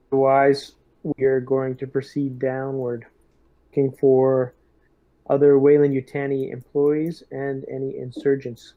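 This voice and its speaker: A man roleplaying a squad leader in a tabletop game, speaking in measured, hesitant phrases. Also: medium pitch. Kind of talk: casual in-character tabletop roleplay. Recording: dull, muffled lo-fi mic.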